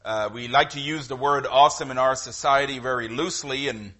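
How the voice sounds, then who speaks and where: A middle-aged man with a Trinidadian accent, preaching a sermon in a conversational, halting way with frequent fillers. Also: medium pitch, fairly even intonation, sharp tone. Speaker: guest preacher.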